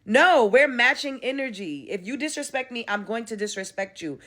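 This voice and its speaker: A woman's voice: on the high side, animated, moderate pace, a bit bright. A woman, ranting bluntly and profanely as an online commentator and comedian. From Brooklyn, New York. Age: 30s. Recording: roomy echo.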